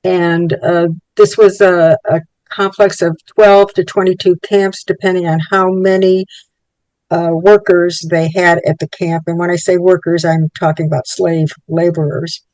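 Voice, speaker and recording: An elderly speaker in their eighties whose first language was Yiddish, recounting early life in a measured, searching way with a touch of wry humor. The pitch sits at a middling level. The sound is dry and close, with no room echo. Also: little pitch movement, darkish tone. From Poland. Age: 80s. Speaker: Holocaust child survivor giving testimony. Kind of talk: personal recollection for a documentary.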